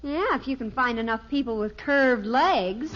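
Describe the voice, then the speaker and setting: An American actress playing a pert teenage daughter, easily exasperated, in a 1950s radio sitcom. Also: female voice, a little high, expressive, normal speed, natural voice. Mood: scornful, exasperated. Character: teenage daughter, pert and scornful of her little brother. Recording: muffled, faintly noisy 1950s radio recording.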